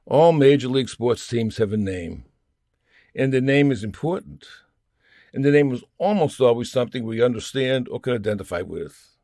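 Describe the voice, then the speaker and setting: A man reads a humorous scripted piece with mock-serious wryness. His voice sits at an ordinary male pitch, and the recording is very dry, with little room sound. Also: expressive, normal speed, mellow, dry recording. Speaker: storyteller reading his own humorous essay. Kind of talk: scripted comic essay reading.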